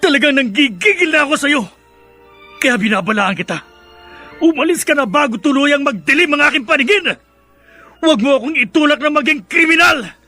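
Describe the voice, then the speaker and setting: Enraged woman, very high sharp voice, seething, threatening, melodramatic Filipino radio drama. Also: lively intonation, fairly quick, soft background music. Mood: furious. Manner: seething, forceful delivery. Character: furious, threatening woman at breaking point.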